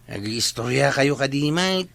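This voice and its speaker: Man playing a dopey easygoing nephew, gravelly but bright voice, drawls his answers. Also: medium pitch, lively intonation, a little slow, no echo. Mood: reluctant. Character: easygoing nephew of the village captain. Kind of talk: comedy drama acting.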